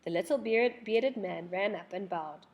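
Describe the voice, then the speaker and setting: A woman reading a children's storybook aloud in an expressive, dramatic storytelling manner. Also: medium pitch, normal speed, lo-fi sound.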